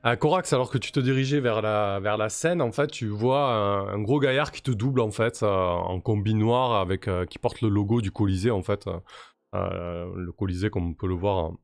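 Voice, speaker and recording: A man's voice: lowish voice, moderate pace, no echo. A man running a tabletop role-playing game, narrating casually with many hesitations. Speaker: game master.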